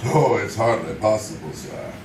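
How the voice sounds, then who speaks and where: A man's voice: fairly low pitch, lively intonation, normal speed, lightly acted. A man plays a polite, deferential manservant in an old-time radio mystery. He sounds far from the mic in an echoey space with faint noise. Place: live theater stage. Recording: distant echoey stage recording, faint noise.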